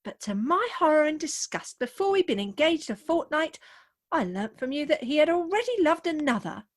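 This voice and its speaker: Woman playing a high-voiced, melodramatic young bride. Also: sing-song, moderate pace. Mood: high-minded, reproachful. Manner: mannered, declamatory, earnest. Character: melodramatic, sentimental, jealous young bride in Victorian comedy. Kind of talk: theatrical comic radio play acting. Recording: remote home video-call recording.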